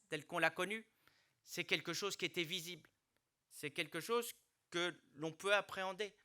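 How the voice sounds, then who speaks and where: A middle-aged man, a mayor, giving a formal New Year speech to hospital staff, earnest and measured, restarting phrases and pressing on key words. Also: high voice, pushed and bright. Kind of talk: formal public speech with hesitations. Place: hospital hall.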